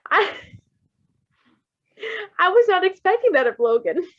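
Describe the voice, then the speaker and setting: A woman reading fan fiction aloud in a casual, giggly, expressive way, breaking into chatty asides. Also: extremely high pitch, a little slow, muffled recording. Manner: giggly, expressive, exclaiming and swearing. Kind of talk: giggly live read-aloud with casual chat.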